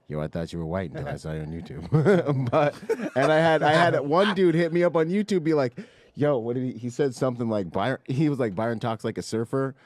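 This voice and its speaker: A man's voice: mid-range voice, expressive, brisk, hardly any pauses, a little mellow, no echo. A man with an American accent telling personal stories casually as a podcast guest, in laid-back, slangy speech. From Bahamas and Washington State, US. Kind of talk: casual conversational storytelling.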